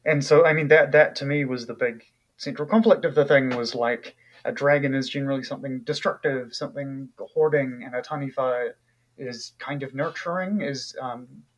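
A man's voice: mid-range voice, normal speed. A man from New Zealand with a New Zealand accent, an author talking casually in an interview, thoughtful and full of fillers and restarts.

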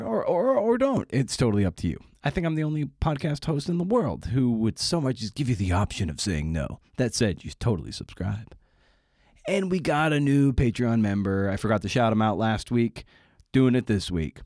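Wry, low-voiced male podcast host. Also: darkish tone, no echo, clean studio sound. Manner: chatty, wry and self-deprecating, with asides. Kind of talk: casual solo podcast intro.

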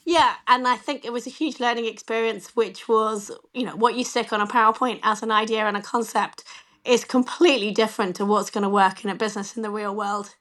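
Woman in her forties, guest; slightly croaky, fairly high voice, candid and self-deprecating, over a video call. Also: steady tone, fairly quick, a bit bright. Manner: candid, self-deprecating, many "you know" fillers. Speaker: bank chief executive, interview guest. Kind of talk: conversational interview answers.

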